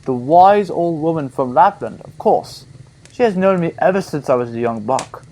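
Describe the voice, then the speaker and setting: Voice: medium pitch, lively intonation, moderate pace, darkish tone, a little hiss or hum, lightly acted. A performer playing a kindly, loyal, courteous talking reindeer in an audio play. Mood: helpful. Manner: courteous, pleading.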